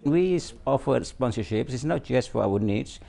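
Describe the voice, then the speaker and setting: A man's voice: mid-range voice, expressive, moderate pace, gravelly, dark tone. A man answering interview questions, hesitant and repeating words. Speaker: company executive.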